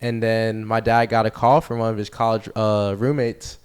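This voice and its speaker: A young man from the United States, a baseball player guest, talking casually in an interview with a relaxed, rambling way of telling stories. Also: fairly low pitch, normal speed, smooth. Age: young adult. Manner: relaxed, rambling storytelling with fillers.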